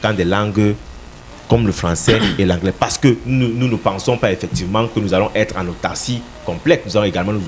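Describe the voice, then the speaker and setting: A man speaks in a fairly deep register, pressing his points with insistence as he answers an interviewer. He sometimes stumbles and repeats words as he searches for the next one. A faint hiss sits under his voice. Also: fairly quick. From Cameroon. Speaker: political party representative.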